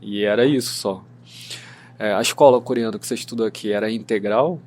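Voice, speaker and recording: A man's voice: lowish voice, a little fast, a little mellow. Curious Rio man asking questions. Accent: Rio de Janeiro Portuguese. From Brazil. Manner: curious, asks short questions. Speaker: podcast host. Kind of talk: interviewing in casual chat.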